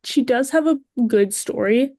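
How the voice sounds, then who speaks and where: A woman's voice: on the high side, measured pace, mellow, dry recording. Woman guest in casual podcast chat.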